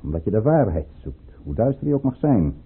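Scheming statesman in old radio play, real husky n formal, muffled phone-like audio. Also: fairly low pitch, very animated, full character voice. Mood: guarded, stern. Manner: formal, measured, theatrical. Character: calculating, commanding statesman with secrets, in a troubled love affair. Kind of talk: Dutch radio play acting.

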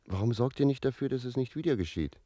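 A man's voice: medium pitch, animated, fast talker, mellow, no room sound, lightly acted. An actor playing a sly young village fellow who recounts his love adventures with mock innocence in a German radio play. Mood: mock innocent. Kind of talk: German radio play, first-person narration with dialogue.

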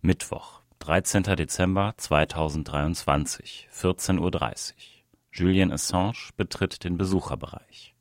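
A man's voice: low voice, steady tone, unhurried, no room sound, studio quality. A man reading a written reportage aloud as scripted narration. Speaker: reader of an audio article.

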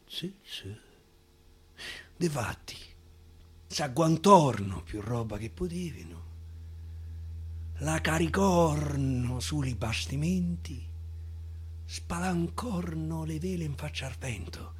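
A man with a Roman accent reciting dialect verse theatrically, swinging from loud declaiming to a hushed whisper. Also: a little high, expressive, slow pace, long pauses, breathy edge. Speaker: actor reciting dialect poetry. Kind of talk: theatrical poetry recitation for radio. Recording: live guitar accompaniment.

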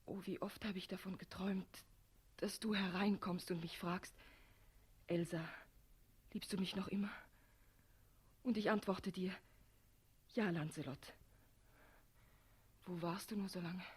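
Suffering young maiden, heavily breathy, slightly low register, lyrical and emotional, vintage radio play. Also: female voice, steady tone, a little slow, pauses often. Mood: yearning. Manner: emotional, lyrical stage delivery. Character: tender, suffering young maiden devoted to her lover. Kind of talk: 1960s German radio play acting.

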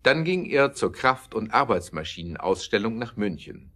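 A man narrating an audio drama with scripted, expressive storytelling delivery. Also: on the low side, normal speed.

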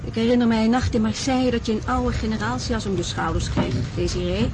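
Actress for composed female attendant, radio drama, slightly high voice, plain-spoken and measured, very noisy backdrop. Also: fairly even intonation, natural voice. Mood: reflective.

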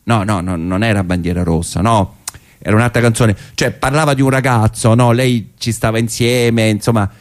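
A man's voice: on the low side, fairly even intonation, moderate pace. A middle-aged man with a Roman accent performing a theatrical first-person monologue in a conversational, hesitant way with wry asides.

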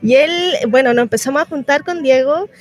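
A woman's voice: high-pitched, fairly quick, soft background music. A Chilean woman, a theatre performer, talking casually and in a meandering way as a guest in a radio interview.

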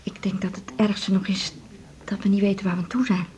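An anxious middle-aged wife played by an actress in a naturalistic radio play. Her mid-pitched voice is homely and confiding. She talks nervously and hesitantly. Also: little pitch movement, brisk, a little mellow, lightly acted. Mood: worried, confiding. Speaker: actor in a radio drama. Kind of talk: 1980s naturalistic Dutch radio drama acting.

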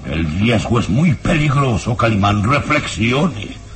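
An actor playing a sinister, menacing baron in a radio drama, with theatrical, insistent, drawn-out delivery. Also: male voice, mid-range voice, big pitch swings, slight background noise. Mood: grave warning. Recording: radio drama with loud music behind. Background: dramatic music.

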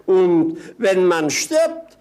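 An actor playing a cheeky, idle, punning companion in a 1950s German radio play, with theatrical, singsong clowning. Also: male voice, high voice, very animated, extremely slow, mellow, exaggerated voice. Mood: gleeful.

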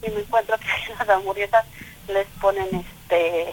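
A woman's voice: medium pitch, steady tone, normal speed, a little mellow, poor audio. A middle-aged woman from Mexico City with a Mexican accent, telling her story as a guest on a call-in interview, chatty with lots of fillers and laughter. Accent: Mexican Spanish. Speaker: small business owner, interview guest. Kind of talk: casual phone interview. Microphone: telephone call.

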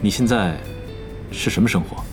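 A man acting a serious young esports team captain in an audio drama, speaking in a measured, earnest, formal way. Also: fairly low pitch, over music, lightly acted. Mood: probing, sincere.

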